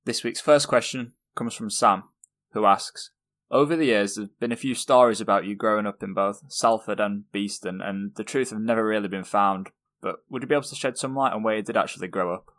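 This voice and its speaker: A man's voice: fairly low pitch, fairly quick, fairly bright tone. A man co-hosting a fan podcast, asking plain, direct questions. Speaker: podcast co-host. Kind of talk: casual interview questions.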